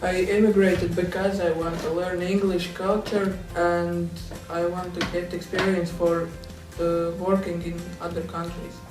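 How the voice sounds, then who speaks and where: A man's voice: sits high, little pitch movement, a little slow, hardly any pauses. A man from Latvia, giving short, plain answers. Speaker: interviewee. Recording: echoey room, distant mic, faint music.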